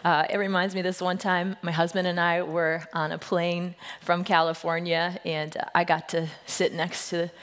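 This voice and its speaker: A woman in her thirties, a senior pastor with a husky voice, giving a playful, joke-filled sermon to her congregation. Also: on the low side, moderate pace, few pauses. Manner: playful storytelling, self-deprecating jokes, lively quoted voices. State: husky voice today. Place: church sanctuary. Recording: long reverb of a large hall.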